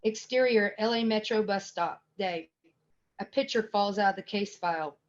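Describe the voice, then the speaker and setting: A woman's voice: mid-range voice, moderate pace, muffled recording. A woman reading screenplay scene headings and stage directions as scripted narration.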